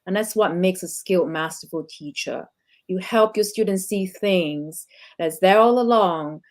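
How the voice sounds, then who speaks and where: A woman's voice: on the low side, unhurried. A woman from Singapore co-hosting a leadership talk, reflective and asking searching questions about her guest's reading. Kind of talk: conversational podcast interview.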